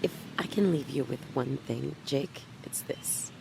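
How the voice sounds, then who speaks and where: A slow, creaky-voiced noir mystery woman. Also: rumbling low, background noise, exaggerated voice. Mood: guarded. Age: young adult. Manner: cool, deliberate, coy. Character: troubled, guarded young woman with a hidden past. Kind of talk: noir audio drama acting.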